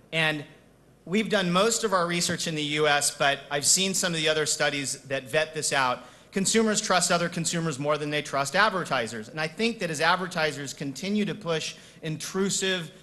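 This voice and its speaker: A man's voice: on the high side, bright, forceful tone. A man giving a semi-improvised business conference presentation as a speaker, stressing key points emphatically by repeating words. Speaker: conference speaker, marketing executive.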